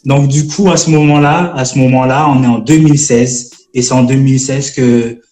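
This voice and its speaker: Gospel rapper in his twenties from French Guiana, mellow tone, echoey room, halting delivery. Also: medium pitch, fairly even intonation. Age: 20s. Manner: halting, many fillers and restarts. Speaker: gospel rap artist guest. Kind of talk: casual live-stream interview.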